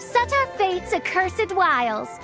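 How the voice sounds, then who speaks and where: Casting: grandiose gothic game girl, high-pitched, melodramatic, archaic proclamations. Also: big pitch swings, slow pace, full character voice. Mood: grandiose lament. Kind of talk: video game voice acting. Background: game music.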